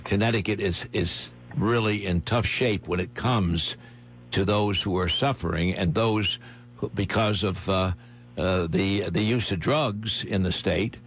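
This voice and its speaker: A man hosting a talk radio interview, speaking in a halting, emphatic way and repeating his phrases. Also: low-pitched. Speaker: radio host. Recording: clear background noise and hum.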